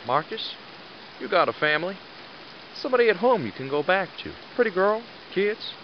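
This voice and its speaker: A man acts a middle-aged squad leader in an audio drama, blunt and commanding but fatherly. His fairly high male voice moves around with lively intonation. The sound is slightly muffled. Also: normal speed, a little hiss or hum. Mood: reflective, gruff.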